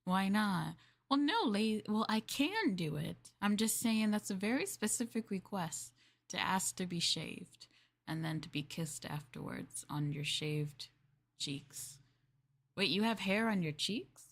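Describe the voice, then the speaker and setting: A woman livestreamer in casual roleplay chat, playing a polite, chatty maid and often dropping into soft, breathy near-whispers. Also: mid-range voice, lively intonation, unhurried. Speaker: VTuber livestream host.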